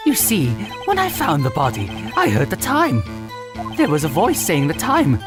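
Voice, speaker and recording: Flustered, wildly swooping shifty witness. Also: male voice, a little high, huge pitch swings, normal speed. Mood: evasive. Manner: stammering, flustered, with sudden outbursts. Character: nervous, shifty male witness caught lying in court. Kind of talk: fan dub of a courtroom video game. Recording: music over the voice.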